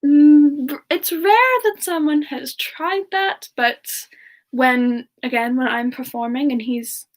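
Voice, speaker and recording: Woman, high voice, unhurried delivery, halting and giggly, for an in-character semi-ASMR livestream chat. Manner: halting, musing aloud, giggly. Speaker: livestream host in character, answering viewer questions.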